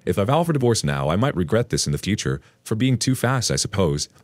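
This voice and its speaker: A man reading an online post aloud as a storytelling narrator, in an expressive read. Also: lowish voice, quick pace, dry recording.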